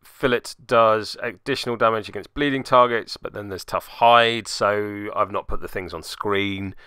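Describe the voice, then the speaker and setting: A man's voice: fairly low pitch, moderate pace, pushed and bright, clean tone, no room sound, studio quality. A man narrating a tabletop game play-by-play in voice-over, with wry, self-deprecating asides. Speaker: host, hobby gaming channel narrator.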